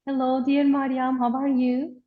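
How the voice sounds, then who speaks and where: A woman hosting an online event, giving an earnest, measured, formal welcome over a video call. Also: high voice, completely flat delivery. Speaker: webinar host. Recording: dull, lo-fi video call audio.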